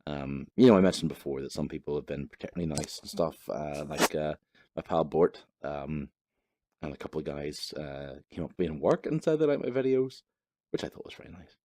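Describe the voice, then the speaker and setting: A man's voice: very deep voice, animated, brisk, a little mellow. A young Northern Irish man chatting casually over his gameplay, rambling, swearing freely and laughing at himself. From Northern Ireland. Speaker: gaming channel host.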